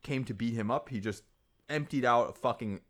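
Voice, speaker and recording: A man's voice: medium pitch, brisk. A man co-hosting a podcast in casual chat, talking freely and swearing, often acting out what other people said. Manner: animated, acts out others' voices, swears. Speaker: podcast co-host.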